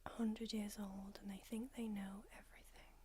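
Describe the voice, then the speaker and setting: A woman whispering in an ASMR roleplay as an ancient, aloof female vampire doctor, unhurried and drily haughty. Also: mid-range voice, flat delivery, mellow, slight background noise, close to an everyday voice. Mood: languid haughty. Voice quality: whispered throughout. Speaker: ASMR creator.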